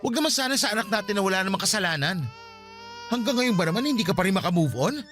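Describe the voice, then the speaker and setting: A man's voice: high-pitched, expressive, racing, fairly bright tone, faint music behind. An actor playing a hurt, pleading young father in a Filipino radio drama, earnest and reproachful, with a Filipino accent. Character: hurt, pleading young father confronting his cold partner.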